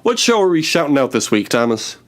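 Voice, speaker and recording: A man's voice: on the high side, very animated, brisk. Man bantering as a podcast host. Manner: joking, self-mocking. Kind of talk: casual comedic banter.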